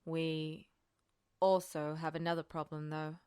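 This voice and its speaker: A woman acts out a cautious male colonel in a storybook reading. The voice is low and smooth, with a dry, close sound. He speaks deliberately, guarded and a little weary. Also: little pitch movement, warm tone, dry recording. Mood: wary. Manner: haltingly measured, deliberate.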